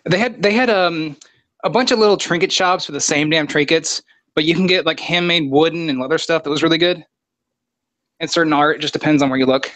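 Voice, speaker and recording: Laid-back, blunt man, fairly high voice. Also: brisk, a bit bright, no room sound. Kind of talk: casual chat.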